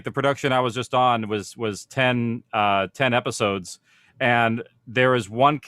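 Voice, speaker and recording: A man's voice: mid-range voice, a bit bright, no echo. Casual man explaining, close dry sound. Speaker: audio production professional on a panel. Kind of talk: informal panel discussion.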